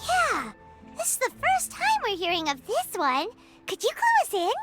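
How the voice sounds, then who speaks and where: A woman voicing a tiny, excitable, easily scared childlike fairy companion in an anime video game, with a squeaky voice and a naive manner. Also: moderate pace, full character voice. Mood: curious, impressed. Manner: naive, speaks of herself in third person. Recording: loud music behind the voice.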